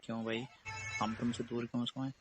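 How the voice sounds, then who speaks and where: A man's voice: medium pitch, level tone, a little fast, husky, soft background music. A young man in an intimate, casual phone chat, teasing and persistently asking questions. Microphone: phone call.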